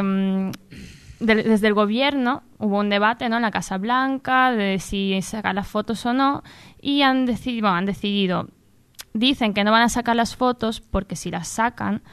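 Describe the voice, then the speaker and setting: A woman giving the news in an informal, chatty way as a radio contributor, with hesitant, halting phrasing. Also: mid-range voice, smooth, dry recording.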